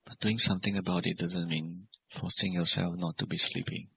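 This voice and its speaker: A man teaching guided meditation as a Buddhist monk, speaking in measured, simple phrases and gentle questions. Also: sits low, level tone, vocal fry, a little hiss or hum. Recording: dull, lo-fi recording.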